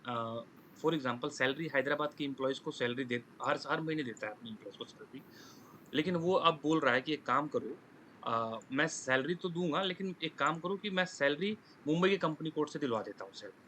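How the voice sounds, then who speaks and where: An Indian man with a bright, slightly high voice teaches an online class. He explains through examples, repeating himself and dropping in English technical terms. There is some background noise on the recording. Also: expressive, normal speed. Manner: repeats and rephrases points, checks understanding with tag questions. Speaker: online course instructor. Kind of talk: live lesson explaining with examples. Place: online class with screen sharing.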